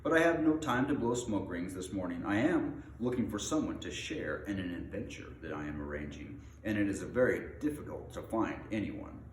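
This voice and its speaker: A commanding old wizard, acted out by a man reading a storybook, brusque and testy. He speaks with pedantic emphasis and lively swings of pitch. The room echoes around him, with faint background noise. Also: normal speed, few pauses. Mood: businesslike.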